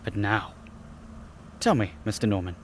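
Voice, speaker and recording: A man's voice: fairly low pitch, moderate pace, lightly acted. An actor playing a restless, outspoken young man who is uneasy, in a radio mystery drama. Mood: bitter, brooding. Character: restless young heir, an aircraft designer, uneasy yet outspoken. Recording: steady noise behind, vintage radio recreation.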